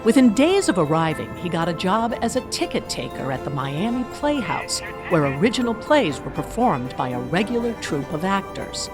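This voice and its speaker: An animated woman narrator tells a story in polished, scripted documentary style. Her knowing delivery rises and falls freely over a clear music bed. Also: fairly low pitch, moderate pace, few pauses. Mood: knowing, storytelling.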